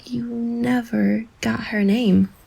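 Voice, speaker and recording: A woman's voice: on the high side, fairly even intonation, slow, vocal fry, husky, exaggerated voice. A woman acting a playful, thoughtful young woman in an intimate bedtime audio drama. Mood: wondering, tentative. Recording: soft guitar music behind the voice.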